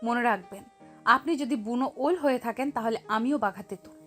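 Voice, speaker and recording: A woman's voice: a little high, moderate pace, faint music behind, close to an everyday voice. A woman playing a wronged young wife, defiant yet heartbroken, with dramatic, emphatic delivery in an audio story. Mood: defiant, warning. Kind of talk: acted audio story dialogue.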